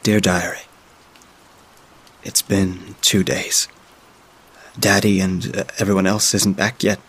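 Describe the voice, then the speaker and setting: A man narrating as a frightened young child keeping a diary, speaking haltingly with frequent filler sounds. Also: low voice, flat delivery, a bit bright, breathy edge, full character voice. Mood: hushed, halting. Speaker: storyteller. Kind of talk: first-person diary reading.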